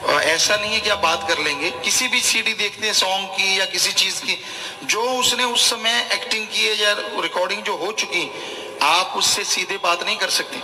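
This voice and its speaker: A man's voice: high voice, normal speed, pushed and bright, a little hiss or hum. A man preaching a colloquial religious sermon in an admonishing, conversational way. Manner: admonishing, conversational, acts out short imagined dialogues. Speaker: spiritual preacher. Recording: echoey hall with long reverb.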